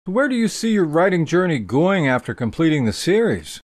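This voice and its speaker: A man interviewing an author, asking his questions with a lively, questioning lilt. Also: a little high, normal speed, no room sound, studio quality.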